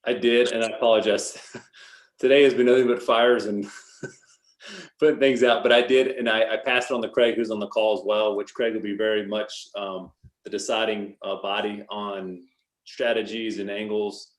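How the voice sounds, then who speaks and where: A man's voice: on the low side, little pitch movement, moderate pace. A man presenting at a virtual meeting, agreeable and deferential, in informal business speech. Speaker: project presenter. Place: virtual meeting call, echoey room. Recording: echoey room on call.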